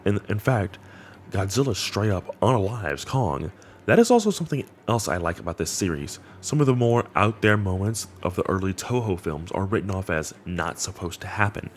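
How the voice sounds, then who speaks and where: A man's voice: low-pitched, a little mellow. A man giving casual, enthusiastic commentary on a horror web series, with slangy wording. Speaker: video host. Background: background music.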